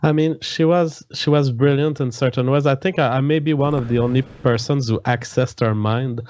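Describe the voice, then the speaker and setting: Male podcast guest, French Canadian accent, native French speaker, earnest storytelling in mid-range pitch. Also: fairly even intonation, moderate pace, a little mellow, lo-fi sound, slight background noise. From Quebec, Canada. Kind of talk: earnest personal account in a podcast debate.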